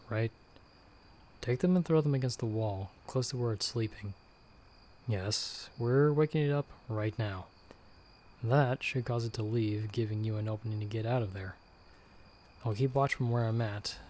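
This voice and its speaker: Low-voiced, calm monster hunter played by a man. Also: slight background noise, lightly acted. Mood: calm, watchful. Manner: hushed, measured, reassuring instructions. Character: calm, protective male monster hunter guiding someone by telepathy. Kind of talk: ASMR audio roleplay.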